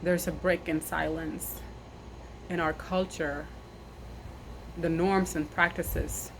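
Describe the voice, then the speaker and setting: Low-voiced earnest woman, windy noisy outdoors. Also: flat delivery. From South Sudan. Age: 30s. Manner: earnest, measured, repeats phrases for emphasis. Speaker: nurse and army veteran sharing her story. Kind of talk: personal address to camera. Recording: noisy outdoor recording with wind.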